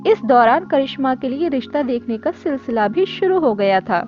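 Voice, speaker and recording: A woman's voice: high-pitched, expressive, normal speed. A woman reading a scripted story as narrator. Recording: dull lo-fi audio with music. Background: background music.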